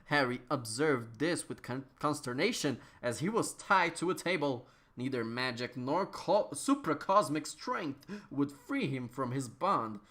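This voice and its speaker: Low-voiced woman doing a dramatic reading. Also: expressive, unhurried, few pauses, a bit bright. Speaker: narrator, reader. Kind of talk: dramatic reading of absurd comic fiction.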